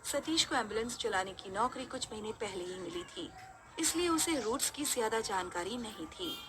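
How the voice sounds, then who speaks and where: A woman's voice: a little high, expressive, moderate pace. A woman narrating a scripted horror story.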